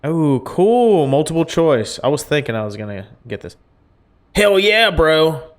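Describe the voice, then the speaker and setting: A man's voice: a little high, big pitch swings, unhurried. A young man reading a visual novel aloud and reacting to it with informal, slangy commentary. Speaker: gaming video host. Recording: music playing behind.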